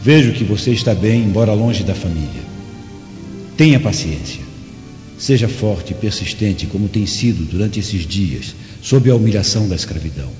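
A vengeful, patient father played by a man speaks in a low, dark voice with a Brazilian accent. He is firm and paternal, in a reverberant radio drama recording with music behind. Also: expressive, normal speed, highs cut off, close to an everyday voice. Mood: firm, plotting.

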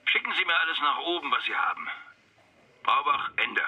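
A man's voice: mid-range voice, big pitch swings, a little fast, highs cut off, background noise. A man playing a brusque, commanding police superior in a radio crime drama, heard through a telephone filter. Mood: curt. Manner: curt, commanding. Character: brusque male police superior giving orders by phone. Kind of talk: German radio drama acting.

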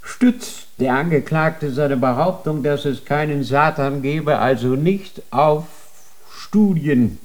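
A man's voice: on the high side, slow pace, darkish tone. An actor playing a stern male inquisitor of a holy tribunal, interrogating in a cold, formal manner in a radio drama.

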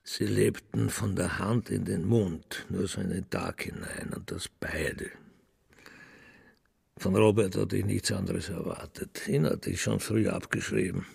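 An actor playing a bitter, contemptuous old businessman father telling his story in a scornful, deliberate radio-drama monologue. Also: low-pitched, big pitch swings, darkish tone, heavily acted. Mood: bitter disappointment. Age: older. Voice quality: gravelly, creaky.

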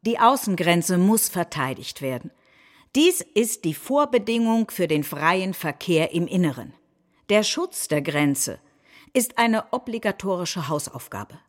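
A combative nationalist leader, played by a woman gives a political speech on stage. The delivery is solemn and declamatory, built to rouse. The voice sits at a middle pitch. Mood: firm. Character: combative nationalist head of government giving a rousing speech.

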